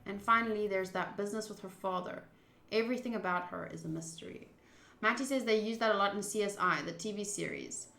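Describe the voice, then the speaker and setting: A woman's voice: medium pitch, fairly quick, creaky, bright, forceful tone, reverberant, faint music behind. A woman streamer doing a game playthrough, reading text aloud and adding casual commentary.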